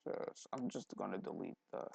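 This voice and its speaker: Low-voiced, gravelly young man rambling through tutorial. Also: normal speed, warm tone, dry recording. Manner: rambling, thinking aloud, frequent throat clearing. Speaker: tutorial host. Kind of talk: casual screen-recorded software tutorial. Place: indoors at a computer, voice-over for screen recording.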